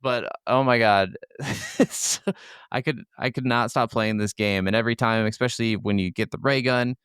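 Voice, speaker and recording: A man's voice: mid-range voice, fairly even intonation, moderate pace, a bit bright, clear, resonant tone, no room sound, studio quality. A man co-hosting a gaming podcast, chatting casually and enthusiastically with plenty of informal swearing. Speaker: podcast co-host.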